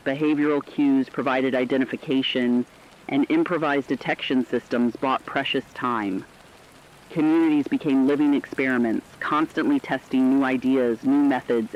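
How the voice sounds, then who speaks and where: A man reading scripted dramatic narration in a serious, deliberate storytelling manner. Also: mid-range voice, highs cut off.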